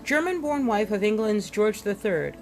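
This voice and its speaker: Expressive woman reading aloud, very lively pitch. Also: faint music behind. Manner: dramatic, deliberate pauses and emphasis. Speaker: reader presenting an illustrated history book. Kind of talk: expressive read-aloud narration with asides.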